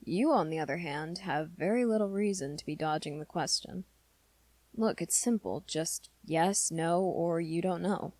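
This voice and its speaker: A woman's voice: on the low side, a little mellow, no room sound. A woman voicing an awkward, earnest teenage werewolf boy in an intimate, hesitant ASMR roleplay. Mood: earnest, nudging. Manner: hesitant, halting, rambling confession to listener.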